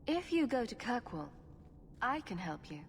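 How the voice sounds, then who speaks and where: An actress playing a bold, compassionate adventurer in a video game, firm and direct. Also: female voice, on the high side, big pitch swings, normal speed, a little hiss or hum. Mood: kind. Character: bold, compassionate woman adventurer, party leader.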